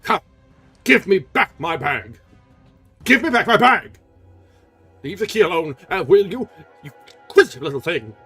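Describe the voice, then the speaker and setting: A man voicing a furious, menacing monster of a man in a loud, snarling voice for a storybook reading. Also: a little high, lively intonation, fast, slightly rough, faint music behind, pitched above the performer's own voice. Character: furious menacing male monster, jealous captor.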